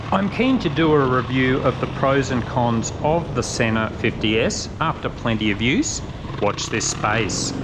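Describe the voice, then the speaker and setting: A man's voice: mid-range voice, background noise. A middle-aged man narrating a scripted motorbike channel review in an informal, matter-of-fact way. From Australia.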